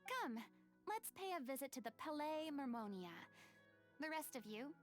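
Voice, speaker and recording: A high, theatrical young woman's voice for a video game. She is proud and commanding, making each statement like a declaration, with music playing softly behind her. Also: very animated, moderate pace.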